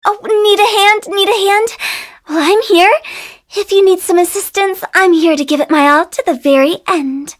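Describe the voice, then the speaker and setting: A woman's voice: extremely high pitch, normal speed. A woman voicing a playful, eccentric young female funeral director in a video game, with a theatrical, teasing, sing-song delivery. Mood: cheerful, playful. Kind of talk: video game character voice lines.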